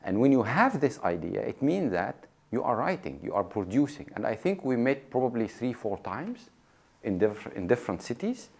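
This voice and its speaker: A man's voice: medium pitch, very animated, moderate pace, vocal fry. A man in a documentary interview, reflectively telling how a project took shape. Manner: storytelling, reflective.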